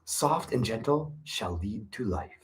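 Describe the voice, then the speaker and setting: Man, slow reader, measured pauses, expressive rising and falling pitch, casual asides. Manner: measured, pausing, adds casual commentary. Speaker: reader of a classic text. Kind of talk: slow reflective reading with asides.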